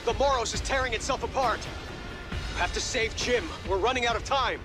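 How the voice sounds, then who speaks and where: A panicking officer in a video game. His strained voice is pushed extremely high with urgency. Music is clearly heard underneath. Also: a little fast. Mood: urgent. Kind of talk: dramatic video game cutscene acting.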